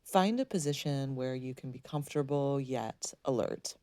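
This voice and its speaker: A woman leading a guided meditation, speaking in a soothing, gently instructing way with unhurried pauses. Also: very deep voice, lively intonation, no room sound.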